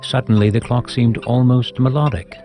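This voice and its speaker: A man narrating a scripted audio drama as a storyteller, in a measured, reflective manner. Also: mid-range voice, fairly even intonation, mellow. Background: music.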